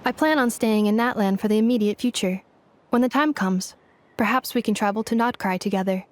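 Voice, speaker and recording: A woman voicing a calm, literal-minded young female game character with a measured, formal, matter-of-fact delivery. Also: mid-range voice, close to an everyday voice. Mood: calm, reflective. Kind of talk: video game voice acting.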